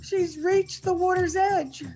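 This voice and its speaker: A woman's voice: extremely high pitch, fairly even intonation, slow pace, dark tone, faint music behind, exaggerated voice. A woman playing a frightened, self-excusing accomplice, urgent and pleading, in a melodramatic old-time radio horror play. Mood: terrified.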